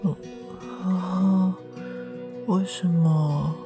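A man's voice: sits high, steady tone, drawn-out words, over music, heavily acted. A man playing a shy, sweet young male dentist in a romantic audio drama, hesitant and easily flustered. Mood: bashful. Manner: hesitant, stammering, bashful.

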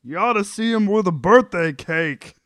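A man puts on a placating, wry sitcom husband with a very high, sharp voice. He whines and pleads at an unhurried pace. The sound is dry and close. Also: lively intonation, no echo. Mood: defensive, wry. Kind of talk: comic sitcom table read.

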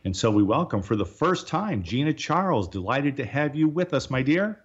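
A man hosting a talk radio show, introducing his guest in a measured, formal and wordy way. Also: mid-range voice, sing-song, husky, dull audio. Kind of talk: radio interview.

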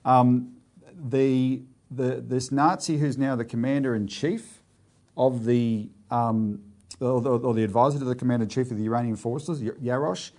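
A man's voice: mid-range voice, steady tone, moderate pace, mellow. An Australian man co-hosting a political talk show, discussing current affairs in a conversational way with many restarts. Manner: conversational with frequent fillers and restarts.

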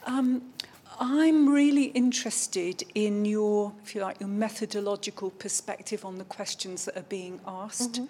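A woman in the audience asking a panel a measured, articulate question. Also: medium pitch, steady tone, mellow, a little hiss or hum. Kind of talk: question from the floor.

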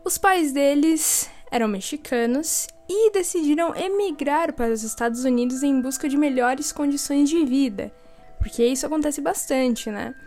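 A woman's voice: sits high, dark tone. A young woman co-hosting a true-crime podcast, chatting casually and joking between facts. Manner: chatty, jokey, quick asides and laughs. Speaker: podcast co-host. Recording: faint music behind.

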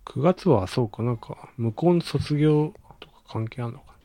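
A man's voice: mid-range voice, moderate pace, warm tone, no room sound. A man chatting casually as a speaker on a podcast. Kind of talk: casual chat.

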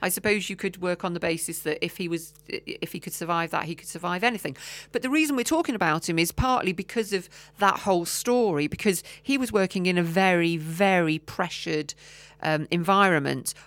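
A woman's voice: fairly low pitch, a little fast, a bit bright, no room sound. A woman co-hosting a podcast in casual chat, often trailing off with ums and restarts. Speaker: podcast co-host.

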